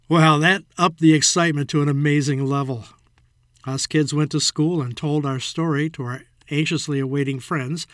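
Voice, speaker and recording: A man's voice: on the high side, no room sound, studio quality. A man in his sixties with an American accent, reading his own written childhood memoir as a scripted narration. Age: 60s.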